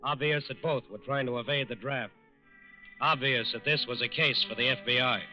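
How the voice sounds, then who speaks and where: A man's voice: mid-range voice, moderate pace. A man narrating a scripted 1940s radio crime show in a dramatic, emphatic, authoritative manner. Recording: muffled 1940s radio recording. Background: music.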